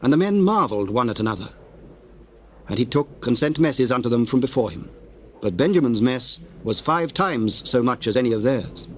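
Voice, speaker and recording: A man reads scripture as a narrator. His voice is mid-pitched, formal and measured, telling the story in archaic English. The old cassette recording carries a faint noise. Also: animated. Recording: noisy old cassette tape.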